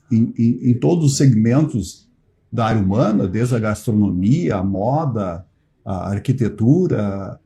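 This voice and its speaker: A man speaks as a guest on a talk show. He explains at length, hesitating with fillers and restarts, then pushing his points home with emphasis. His pitch moves a lot and his tone stays mellow and warm. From Brazil. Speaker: society treasurer, guest.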